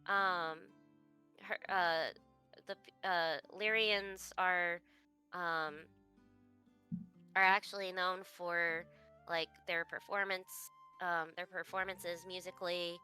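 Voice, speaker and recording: A woman's voice: fairly low pitch, little pitch movement, slow pace, sharp tone, clear, resonant tone, soft background music. A young woman playing a tabletop game, speaking in character as her bard, hesitant and full of fillers and restarts. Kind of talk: in-character roleplay.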